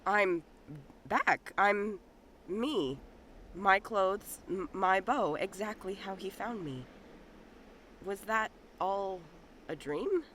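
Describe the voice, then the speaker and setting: A woman's voice: medium pitch, fairly bright tone, slight background noise. A woman playing a humble, sincere young hunter in an audio drama, hesitant and bashful. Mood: dazed. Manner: hesitant, unhurried.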